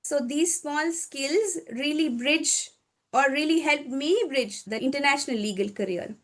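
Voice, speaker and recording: A woman from India, answering interview questions in a measured, explanatory way; her is Hindi. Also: high voice, a bit bright. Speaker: guest, corporate lawyer.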